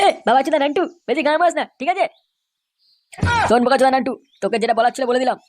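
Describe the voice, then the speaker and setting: A woman's voice: sits high, rapid-fire, a little mellow, slight background noise, natural voice. Cartoon henchman played by a woman: bullying, sweary, rattling off threats at top speed. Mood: threatening. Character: foul-mouthed bullying young street thug, cocky henchman. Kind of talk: comic cartoon dubbing.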